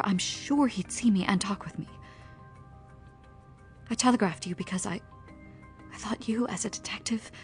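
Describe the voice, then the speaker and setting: An actress playing an earnest, anxious young woman in a pulp thriller audio drama, speaking in a breathy, hesitant half-whisper. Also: mid-range voice, darkish tone, lightly acted. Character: earnest, anxious young woman pleading her case to police.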